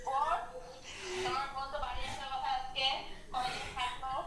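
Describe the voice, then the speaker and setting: A woman's voice: sits high, little pitch movement, fairly quick, very noisy. A woman speaking over a phone on speaker, chatting with a caller in a puzzled but friendly way. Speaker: YouTuber receiving a call. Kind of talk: phone conversation. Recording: echoey speakerphone from a distance.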